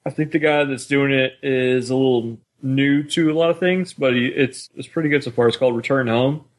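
A man's voice: mid-range voice, fairly quick. A man talking casually as a podcast co-host, with an informal, easygoing manner.

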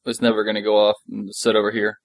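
A man with a fairly low voice, recorded dry with little room sound. He talks as a podcast co-host going through a story recap, in a casual, somewhat halting way. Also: completely flat delivery, no echo. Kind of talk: casual episode recap discussion.

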